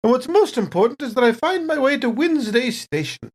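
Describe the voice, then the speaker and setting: A woman's voice: high-pitched, animated, a little fast, breathy edge. A woman playing a polite, evasive company captain in improvised sci-fi role-play, hesitant and genteel in her phrasing. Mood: coyly evasive.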